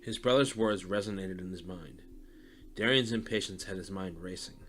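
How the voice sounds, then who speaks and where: A man reads scripted story narration in a fairly low voice. Faint music runs underneath his narration. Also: moderate pace, a bit bright.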